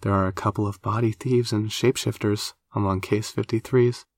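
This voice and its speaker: Man voicing a calm, frank young woman. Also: mid-range voice, brisk, no echo, clean studio sound, close to an everyday voice. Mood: matter-of-fact.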